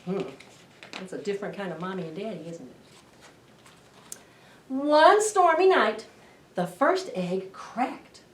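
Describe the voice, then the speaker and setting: A middle-aged woman hosting a children's storytime, reading aloud in an expressive, deliberate way to young listeners. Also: sits high. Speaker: library storytime host. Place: indoor library children's room. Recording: roomy echo, distant camera microphone.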